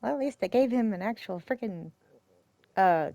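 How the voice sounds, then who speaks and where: A woman's voice: medium pitch, expressive, normal speed, creaky, mellow, no room sound, clean studio sound. A woman commenting casually on a game she plays, with playful muttered asides. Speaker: game streamer. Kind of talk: casual playthrough commentary, reading her character's replies aloud.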